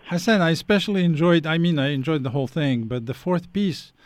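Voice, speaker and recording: A man's voice: on the high side, brisk, dry recording. A man hosting an interview who praises his guests' work before asking his questions. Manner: appreciative, leads with praise. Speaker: interview host.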